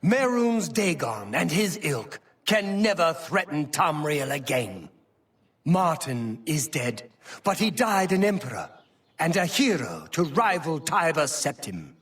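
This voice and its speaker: High-pitched, stately imperial chancellor. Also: male voice, big pitch swings, takes it slowly, fairly bright tone, recorded from a distance, exaggerated voice. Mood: grave, mournful. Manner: formal, declamatory, emphatic. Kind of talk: dramatic fantasy game acting.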